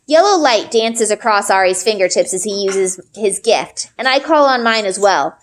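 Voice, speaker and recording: A woman's voice: mid-range voice, animated, normal speed, a little hiss or hum. A woman reading a story aloud expressively, as a teacher would, acting out the dialogue.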